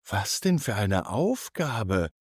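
A man's voice: lowish voice, very animated, slow pace, slightly rough, dry recording, clean studio sound, full character voice. A man voicing a curious young boy in a bedtime story. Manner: curious, wondering. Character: curious young boy who loves snow.

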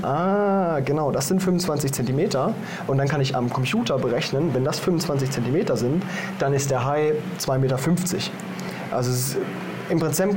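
Young man explaining casually, noisy surroundings. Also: medium pitch. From Germany. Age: young adult. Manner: informal, step-by-step explaining. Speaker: podcast guest, marine biologist. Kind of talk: casual explanatory interview answers.

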